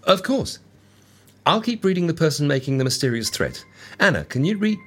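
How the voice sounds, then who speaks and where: An actor playing an excitable, erudite scholar of ancient Egypt in an audio drama, with a husky voice. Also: male voice, a little high, normal speed, heavily acted. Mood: amazed, curious. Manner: erudite, animated.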